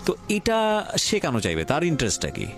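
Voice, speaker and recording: A man with a Bangladeshi accent hosting a radio talk show, questioning deliberately and mixing in English words. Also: a little high, huge pitch swings. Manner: deliberate, mixes English words into his speech. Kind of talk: probing interview, addressing guest and listeners. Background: music.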